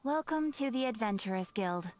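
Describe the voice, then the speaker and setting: A woman's voice: on the high side, expressive, normal speed, a little mellow, faint music behind, close to an everyday voice. A woman voicing a courteous, composed guild receptionist in a fantasy video game, speaking politely, formally and precisely.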